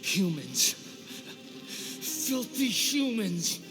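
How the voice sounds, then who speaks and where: A man's voice: sits high, very animated, very slow, heavily acted. A man voicing an arrogant, wrathful young puppet who has become a false god in a video game, speaking in a grandiose, contemptuous, theatrical way. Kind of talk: dramatic video game voice acting. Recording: loud game music over voice.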